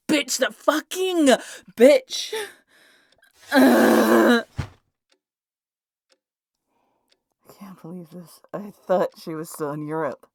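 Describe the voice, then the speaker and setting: Woman as a wry vampire with gravelly creak. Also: on the high side, dry recording, clean studio sound, exaggerated voice. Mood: furious. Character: wry, guarded female vampire, flattering her rival, secretly protective. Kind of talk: scripted roleplay audio drama.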